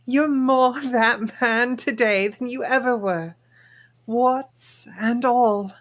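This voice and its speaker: A woman reading the part of a loving middle-aged queen, drawn-out and emotional, in an amateur table read. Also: on the high side. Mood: tender.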